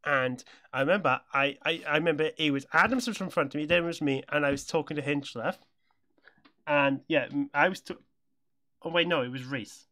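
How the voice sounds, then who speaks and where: A man's voice: on the high side, a little fast, pushed and bright. A young British man chatting casually and telling anecdotes as a podcast co-host, often stammering and repeating his words.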